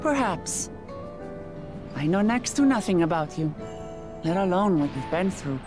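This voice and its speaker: A woman's voice: on the low side, big pitch swings, unhurried, over music. A woman voicing a frank, open-hearted young warrior princess in a fantasy video game, direct and earnest. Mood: earnest, resolute.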